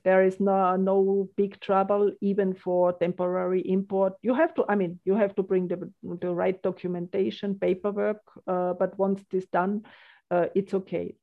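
A woman's voice: medium pitch, flat delivery, moderate pace, narrow, tinny audio. Woman, hesitant and chatty. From Austria. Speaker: logistics business guest. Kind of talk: casual business interview.

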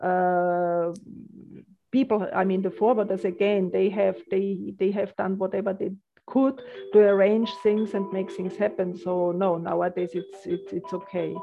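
A woman's voice: medium pitch, flat delivery, unhurried, creaky, phone-quality sound, soft background music. A woman in her fifties from Austria, speaking English as an interview guest, often restarting her sentences. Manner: hesitant, restarts, chatty. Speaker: logistics business guest. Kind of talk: casual business interview.

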